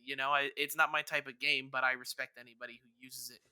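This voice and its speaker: A man's voice: mid-range voice, level tone, fast, pushed and bright, clean tone, no echo. A man co-hosting a gaming podcast, chatting casually and circling back over his words as he talks. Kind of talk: casual chat about video games.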